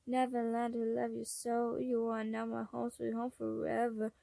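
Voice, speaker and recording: A woman voicing a shy, gentle man in love from a story, soft and hesitant. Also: a little high, monotone, moderate pace, gravelly, dry recording. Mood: soft singing.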